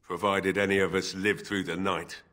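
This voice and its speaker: An actor playing a drunken, grief-stricken old village blacksmith in a fantasy game, gruff, weary and bitter. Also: male voice, deep voice, level tone, normal speed, fairly bright tone. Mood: doubtful, pleading. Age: older. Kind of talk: fantasy game dialogue acting.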